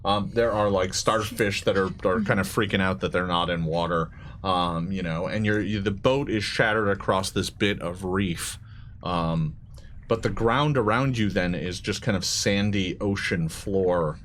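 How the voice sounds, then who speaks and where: A man narrating a tabletop game as game master in a halting, conversational way. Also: deep voice, fairly bright tone. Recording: live stream with clear background noise.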